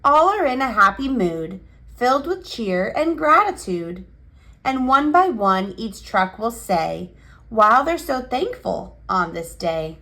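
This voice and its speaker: A woman reading a picture book aloud for young children in an expressive, cheerful and measured storytelling manner. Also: a little high. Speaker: children's picture book reader and channel host.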